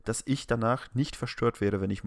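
A man's voice: medium pitch, normal speed, gravelly, dry recording. A man with a German accent talking as a podcast co-host in a casual, colloquial, exclamatory way.

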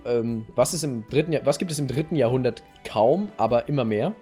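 A man hosting a podcast, telling a history story casually and playfully in a halting, slightly husky voice. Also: medium pitch, animated, mellow, soft background music. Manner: halting, playful storyteller addressing co-host directly. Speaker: podcast host.

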